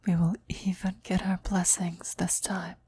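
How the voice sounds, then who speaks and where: A devoted female cultist acted by a woman for an ASMR roleplay, whispering with an extremely breathy voice. She is slow, deliberate and eerily reverent toward her demon queen. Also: lowish voice, flat delivery, warm tone. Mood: serene, fanatical. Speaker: voice actor in an audio roleplay.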